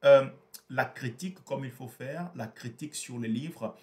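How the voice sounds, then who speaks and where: A man's voice: mid-range voice, a little slow, darkish tone. A man whose first language is Portuguese, explaining a book in a hesitant, repetitive way with many fillers. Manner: hesitant, repeats words, frequent fillers. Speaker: book reviewer. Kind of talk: explanatory book review talk.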